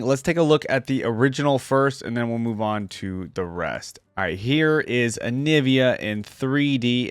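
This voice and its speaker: A man's voice: on the low side, no echo. Casual man, very dry close sound. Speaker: reaction video host. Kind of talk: casual reaction commentary.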